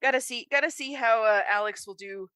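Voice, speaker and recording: Bright-voiced American woman chatting casually. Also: fairly high pitch, fairly quick, smooth, dry recording. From Utah, United States. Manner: chatty, rambling with tangents. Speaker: podcast co-host. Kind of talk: casual chat.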